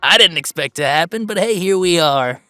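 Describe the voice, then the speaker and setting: A man playing an upbeat, earnest video game streamer who chats casually and haltingly in a livestream roleplay. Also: high voice, bright, forceful tone, dry recording, studio quality. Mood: excited. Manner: halting, rambling, sighing, earnest.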